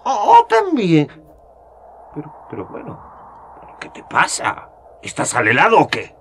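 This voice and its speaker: A man's voice: on the high side, wildly swooping pitch, unhurried, slight background noise, full character voice. An actor playing a worldly, scoffing older male scholar in a radio drama, mocking and laughing as he talks. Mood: sly.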